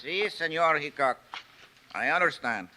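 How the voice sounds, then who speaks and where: An actor playing a wary older uncle in a 1950s radio drama, speaking English, soft and measured. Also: male voice, a little high, lively intonation, slight background noise, exaggerated voice. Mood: guarded. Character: wary old Mexican uncle, guarded and proud.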